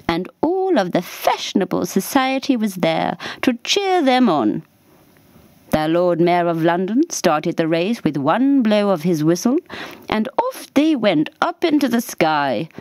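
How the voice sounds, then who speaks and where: Lively-pitched woman reading a children's story. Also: no room sound. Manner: measured, deliberate storybook delivery. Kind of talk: scripted children's storytime narration for radio.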